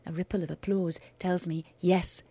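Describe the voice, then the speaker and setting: A woman performing her own first-person audio drama, in a measured, intense delivery that often drops to a hushed whisper. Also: lowish voice, little pitch movement, husky. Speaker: writer and performer.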